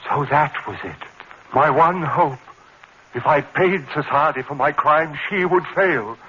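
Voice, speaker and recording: A man's voice: on the high side, unhurried, bright, forceful tone, husky, dull audio, full character voice. A man playing an anguished, haunted murderer in overwrought 1950s horror drama style, on an old broadcast recording. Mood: desperate. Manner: frantic, melodramatic, overwrought. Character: anguished condemned murderer haunted by a demon woman.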